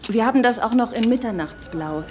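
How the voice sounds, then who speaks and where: Actress as polite, flattering boutique saleswoman. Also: on the high side, a little fast, a little hiss or hum, close to an everyday voice. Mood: flattering, helpful. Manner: polite, advising. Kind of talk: German radio drama acting. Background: music.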